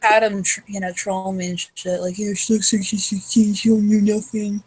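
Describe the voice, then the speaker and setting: A woman's voice: medium pitch, flat delivery, normal speed, darkish tone, breathy edge, poor audio. A young woman in casual chat, slangy and swearing easily. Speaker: chat participant.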